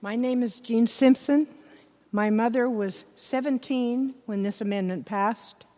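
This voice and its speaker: A woman's voice: fairly high pitch, measured pace, a little mellow. An older American woman giving a prepared personal statement as a readers theater performer. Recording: reverberant hall recording.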